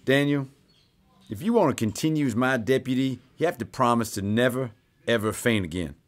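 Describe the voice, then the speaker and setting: A man's voice: mid-range voice, a little fast, close to an everyday voice. Man playing a cocky, drawling Texas sheriff. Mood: stern. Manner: folksy, domineering, swearing. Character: gruff, cocky Texas sheriff in the Old West. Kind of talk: western audio drama acting.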